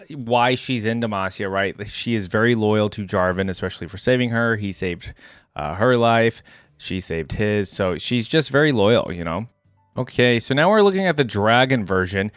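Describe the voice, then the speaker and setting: A man's voice: lowish voice, animated, normal speed, smooth, dry recording, studio quality. A man hosting a casual reaction video, thinking aloud as he comments.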